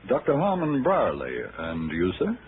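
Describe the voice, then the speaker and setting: An actor playing a polite, flustered doctor in a 1960s radio drama, formal and hesitant in manner. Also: male voice, mid-range voice, huge pitch swings, a little slow. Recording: noisy vintage radio, narrow band.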